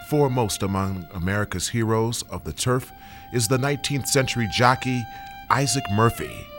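A man giving formal, grand narration as the announcer of an old radio drama. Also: on the low side. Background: music.

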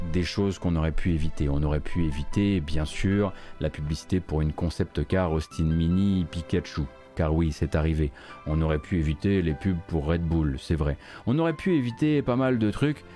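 Deep, bright-voiced man, emphatic. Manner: emphatic, repeats words for stress. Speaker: host of a video game news stream. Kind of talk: live news recap with opinion commentary.

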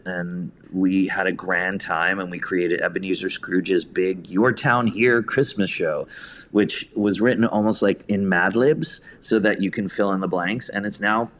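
A man's voice: low-pitched, steady tone, a little slow, fairly bright tone, slight background noise. A man speaking casually as an interview guest, telling anecdotes and acting out bits of remembered conversation. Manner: anecdotal, quoting conversations in his own voice. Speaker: guest, theatre director and writer.

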